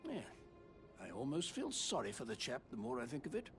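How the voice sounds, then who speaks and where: An actor playing a pompous, mocking gentleman in a fantasy video game, speaking in a theatrical way. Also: medium pitch, huge pitch swings, fairly quick. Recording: music behind the voice. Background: music.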